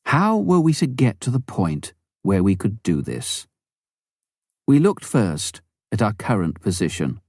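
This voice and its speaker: Deep-voiced man reading a memoir, lively intonation. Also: dry recording, studio quality. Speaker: audiobook reader. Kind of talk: scripted narration of a memoir.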